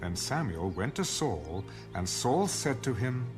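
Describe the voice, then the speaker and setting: A man's voice: mid-range voice, sing-song, a little slow, over music. A man playing the narrator of a biblical story in a dramatized scripture reading. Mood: narrating.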